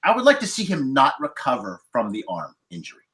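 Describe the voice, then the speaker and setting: A man hosts a casual film discussion with a very lively, swooping intonation. His pitch is mid-range, and he speaks haltingly, repeating words as he builds a point. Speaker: podcast host and moderator.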